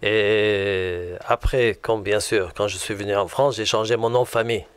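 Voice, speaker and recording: A man from Lebanon with a Lebanese accent, giving a personal religious testimony in a halting way that often circles back on itself. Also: fairly low pitch, fairly even intonation, clean tone.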